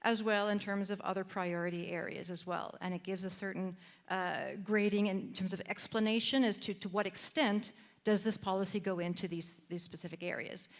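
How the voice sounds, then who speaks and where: A woman's voice: medium pitch, fairly even intonation, normal speed. Canadian lady demoing a database at a conference, explains step by step, lots of ums and restarts. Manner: frequent restarts and fillers, friendly and explanatory. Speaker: presenter demonstrating a database. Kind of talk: live panel presentation, guiding a screen walkthrough. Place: conference room.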